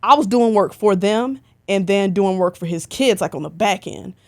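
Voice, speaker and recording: A woman's voice: medium pitch, normal speed. A young woman in casual podcast conversation, telling stories with lots of "like" and playful bursts of energy. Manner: chatty, animated storytelling with lots of "like". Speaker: podcast guest, concert photographer. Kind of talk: casual interview chat.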